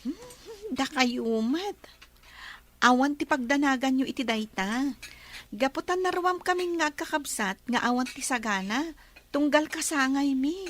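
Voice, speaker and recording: A woman's voice: sits high, lively intonation, measured pace, fairly bright tone, a little hiss or hum. A woman playing a cheerful young daughter who chats with her friend and her father. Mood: affectionate.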